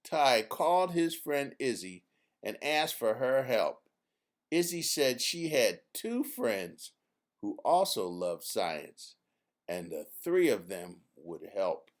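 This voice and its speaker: An author reading a children's book aloud as a narrator, in a deliberate, measured way. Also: medium pitch, very animated. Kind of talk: scripted storybook narration read aloud.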